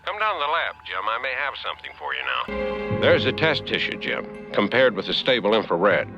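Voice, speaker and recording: An actor playing an earnest male ship's doctor in an animated series, explaining lab findings. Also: on the low side, fairly quick, lo-fi sound, music in the background.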